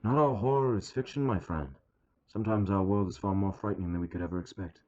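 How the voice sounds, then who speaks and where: On a muffled old interview tape, a man plays a cryptic writer of horror radio plays. His voice sits very low, and he answers with grave, ominous deliberation, like a vintage broadcast guest. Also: fast talker, darkish tone, natural voice. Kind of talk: 1950s radio interview acting.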